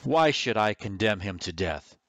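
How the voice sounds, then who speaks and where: Man playing a deep, commanding Roman governor. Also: expressive, moderate pace, background noise. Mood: stern, commanding. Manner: declamatory, authoritative. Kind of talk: amateur radio drama acting.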